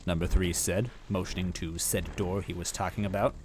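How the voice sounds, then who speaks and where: A man's voice: deep voice, fairly even intonation, normal speed, a little hiss or hum. A man narrating a story aloud in a dramatic first-person style.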